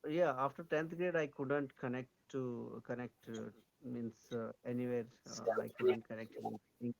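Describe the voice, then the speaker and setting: A man's voice: medium pitch, fairly even intonation, normal speed, creaky, noisy background. A man from India, a Kannada speaker with an Indian accent, talking English over a video call, hesitant with many fillers and restarts. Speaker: English learner. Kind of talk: casual conversation practice.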